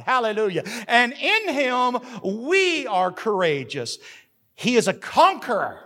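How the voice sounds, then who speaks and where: A pastor in his fifties preaching with a lively, swooping voice that rides high for a man. He delivers his sermon from a church platform in an animated way, now and then breaking into a shout. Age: 50s.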